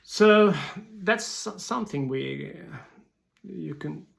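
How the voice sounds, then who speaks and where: A man with a Swedish accent giving an informal explanatory business talk in halting, hesitant non-native English phrasing. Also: high-pitched, lively intonation, gravelly. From Sweden.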